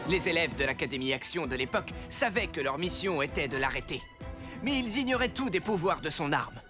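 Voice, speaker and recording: A man playing a wise, cautious teacher at a superhero academy in a children's cartoon, instructive and expressive. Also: a little high, fairly quick, music in the background, close to an everyday voice. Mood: storytelling.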